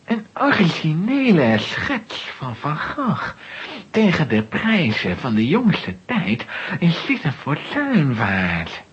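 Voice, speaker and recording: A man's voice: on the high side, wildly swooping pitch, slow pace, a bit bright, slightly rough, full character voice. A man playing a scheming, threatening art dealer in a children's audio play, with a sly, theatrical delivery. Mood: greedy, calculating. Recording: dull, noisy old audio play recording.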